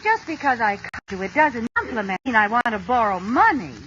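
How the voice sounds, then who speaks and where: High-voiced scheming American housewife. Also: female voice, sing-song, fairly quick. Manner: exaggerated, theatrical. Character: scheming, wheedling American housewife in radio sitcom. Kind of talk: 1950s radio comedy acting. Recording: muffled, noisy old radio recording.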